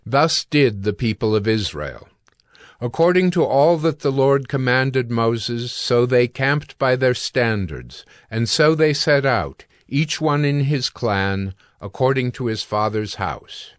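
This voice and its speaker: A male narrator reads scripture with a slow, measured delivery. His middle-pitched voice is formal and sits in a very dry recording. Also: expressive, no echo.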